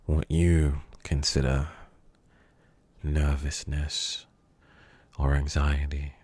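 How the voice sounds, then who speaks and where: A man playing a menacing, smug kidnapper in an ASMR roleplay, speaking slowly and teasingly and dropping into whispers. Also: a bass voice, monotone, darkish tone, husky, full character voice. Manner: slow, teasing, drifting into whispers.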